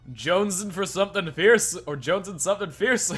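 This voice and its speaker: A man's voice: sits high, normal speed, fairly bright tone, husky, soft background music. Laid-back man reading a visual novel. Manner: laughs often, casual and profane between lines. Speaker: let's play host and narrator.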